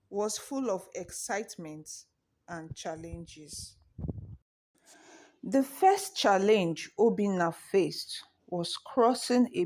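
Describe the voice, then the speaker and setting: A woman narrating a scripted children's folktale with measured, deliberate phrasing. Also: mid-range voice, a little mellow. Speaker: storyteller.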